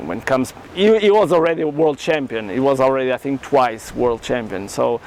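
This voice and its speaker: A man with a Portuguese accent and Portuguese as his first language, telling his story in halting English as an interview guest. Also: mid-range voice, animated, a little mellow, slight background noise. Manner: earnest, halting non-native English with many restarts. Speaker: karate champion and entrepreneur, guest.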